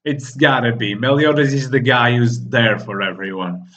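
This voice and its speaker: A man who is not a native English speaker, hosting a live reaction and reading lines aloud, excitable and laughing often. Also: mid-range voice, moderate pace, dull audio. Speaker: reaction video host.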